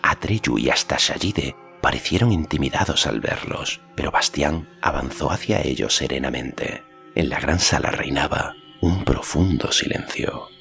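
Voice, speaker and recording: A man's voice: rumbling low, expressive, unhurried, creaky, fairly bright tone. A man reading a fantasy story as an audiobook narrator, in a scripted narration style. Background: faint music.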